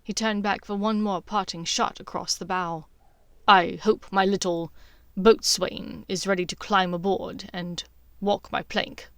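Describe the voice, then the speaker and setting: A woman's voice: fairly high pitch, dry recording. A woman reading scripted story narration as an audiobook narrator.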